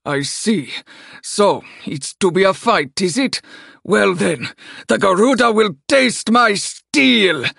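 Male narrator as a young prince, high husky voice, pompous, naive, affected, storybook fantasy. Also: expressive, slow pace, fairly bright tone, no room sound, studio quality, heavily acted. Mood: haughty bravado.

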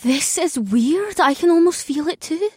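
A man's voice: extremely high pitch, expressive, measured pace, darkish tone, no room sound, studio quality, voice pushed up. A man voicing a playful, giggling young woman as a character in an audiobook. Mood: giggly wonder.

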